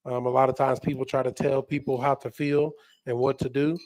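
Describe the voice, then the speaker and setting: A man's voice: medium pitch, brisk, dry recording. Affable American man hosting call-ins. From United States. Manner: relaxed, affable, calls callers brother and sis. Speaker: livestream co-host. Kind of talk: casual call-in moderation.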